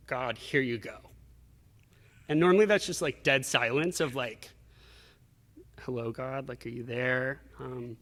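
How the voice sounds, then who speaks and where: A man being interviewed, telling personal stories in a reflective, casual way with acted-out asides. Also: mid-range voice. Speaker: interview guest. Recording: reverberant hall-like echo.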